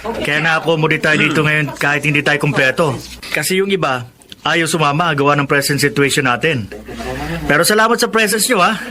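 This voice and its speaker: A man's voice: on the high side, fairly quick, a crowd in the background, lightly acted. A man playing a genial, friendly young reunion host in a Filipino radio drama. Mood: welcoming, chatty. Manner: chatty, friendly.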